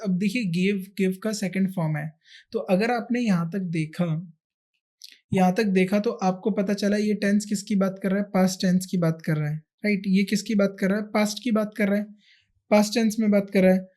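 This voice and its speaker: A man's voice: high-pitched, fairly even intonation, normal speed, dark tone. A man with an Indian accent teaching a grammar lesson, explaining step by step with repetition and rhetorical questions. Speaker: English grammar teacher for exam candidates. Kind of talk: explanatory lesson, switching between Hindi and English.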